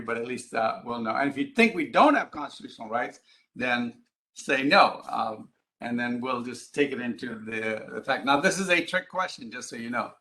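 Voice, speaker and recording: A man's voice: mid-range voice, sharp tone. A man from Ghana and the Middle East speaking to an audience in an explanatory, emphatic way, often posing rhetorical questions. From Ghana, Middle East and East Africa. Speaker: public speaker, advocacy group president. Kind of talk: live lecture to an audience.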